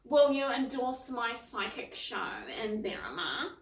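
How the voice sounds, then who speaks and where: A woman voicing a rude, quick-tempered housekeeper who talks bluntly, recorded in a roomy space at some distance from the mic. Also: on the high side, very animated, exaggerated voice. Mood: boastful. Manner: snarling, blunt.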